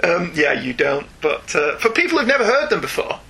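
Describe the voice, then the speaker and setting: A man hosting a podcast, chatting casually and reading out listener emails in a chatty, animated way. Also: fairly high pitch, brisk, creaky, a little hiss or hum. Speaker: podcast host.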